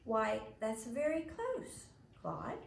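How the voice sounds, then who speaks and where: Woman as a slow, proper storybook mother. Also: a little high, huge pitch swings, creaky, distant mic, pitched above the performer's own voice, heavily acted. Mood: patient. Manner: measured, careful reading and explaining. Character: patient, proper mother directing a church pageant. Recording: roomy echo, faint background noise.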